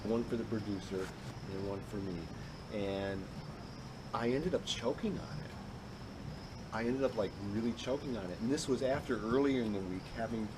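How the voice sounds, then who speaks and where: Raspy-voiced man, low and hoarse. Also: moderate pace. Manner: rambling, chatty storyteller. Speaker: podcast co-host. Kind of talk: casual chat over beers. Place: outdoor patio. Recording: noisy outdoor recording.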